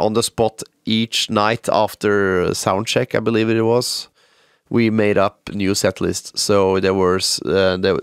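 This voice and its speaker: Deep nasal guy with a cold, gravelly, lots of uhs, dry close sound. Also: no room sound. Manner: rambling, many filler uhs. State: stuffy nose from a cold. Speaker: podcast host and band musician. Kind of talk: solo podcast update.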